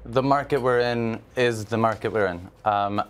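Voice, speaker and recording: A young man with a fairly low, smooth, resonant voice talks things through as a guest on a football TV panel. He explains earnestly, pausing on fillers and restarting, and sounds close on a lapel mic in a large studio. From Israel.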